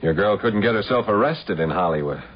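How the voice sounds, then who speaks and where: An actor playing a brisk, cynical investigator who questions bluntly, in a radio drama. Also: male voice, on the low side, expressive, breathy edge. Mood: cynical, pressing. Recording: dull, hissy radio broadcast recording.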